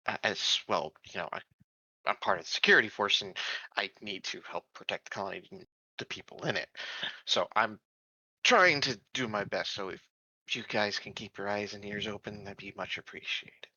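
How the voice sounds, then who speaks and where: A man plays an earnest, secretive non-human security officer in a tabletop game. The voice is bright and swings widely in pitch, with polite, hesitant phrasing. The recording is dry and a little dull. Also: lively intonation, normal speed, breathy edge, dry recording, full character voice.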